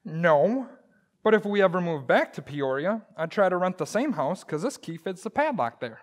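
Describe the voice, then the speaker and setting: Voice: medium pitch, sing-song, moderate pace, natural voice. An actor playing a stubborn, folksy, blustery husband in an old-time radio comedy. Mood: matter-of-fact. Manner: folksy, hesitant, indignant.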